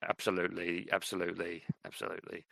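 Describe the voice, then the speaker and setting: Male podcast co-host, deep voice, dry close mic, thoughtful and meandering. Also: monotone, fast talker, vocal fry, a bit bright, dry recording. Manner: thoughtful, rambling with fillers and restarts. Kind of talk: casual chat about books and fiction.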